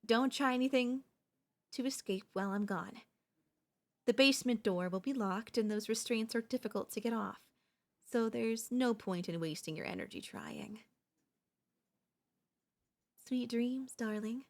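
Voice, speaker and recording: A woman playing an obsessive female pop idol turned kidnapper in an ASMR roleplay, speaking in a soft, coaxing, doting way with menace underneath. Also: fairly high pitch, measured pace, long pauses. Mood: doting, controlling.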